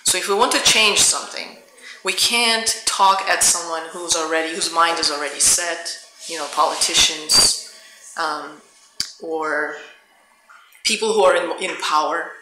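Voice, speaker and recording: A woman's voice: deep voice, fairly even intonation, a little slow. A middle-aged woman from the Soviet Union, answering audience questions as a guest author in a reflective, searching way. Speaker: author and university teacher, guest speaker. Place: indoor public event room. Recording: echoey room, faint background noise, clicks.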